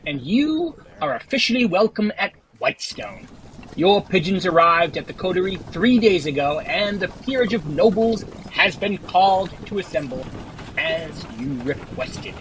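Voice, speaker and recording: A man's voice: high voice, a bit bright, slight background noise, poor audio. A man playing an anxious but loyal young soldier scout in a fantasy audio drama, reporting formally and respectfully.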